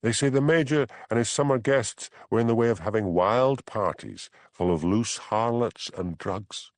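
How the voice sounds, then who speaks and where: A man's voice: medium pitch, moderate pace, dry recording, clean studio sound, natural voice. A man voicing a brash, teasing Scottish detective in an audiobook crime story. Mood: wry, exasperated. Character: foxy, brash Scottish detective cadging whisky.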